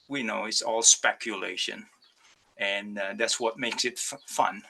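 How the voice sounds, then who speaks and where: Man from Indonesia, slow, hesitant, gravelly, bright tone, video call talk. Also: medium pitch, low-quality recording. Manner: hesitant, many fillers and restarts. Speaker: ceramic artist. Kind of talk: virtual artist talk presenting slides.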